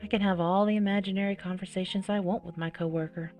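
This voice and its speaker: Expressive woman reading a game aloud, music behind. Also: mid-range voice, fast talker. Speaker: gamer playing and reading a visual novel aloud. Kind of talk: dramatic read-aloud with casual reactions.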